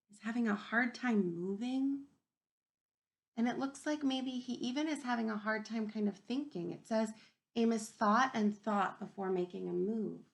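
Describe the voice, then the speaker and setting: A woman teacher reading a picture book aloud to young children, thinking out loud and prompting them with questions. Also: on the high side, gravelly.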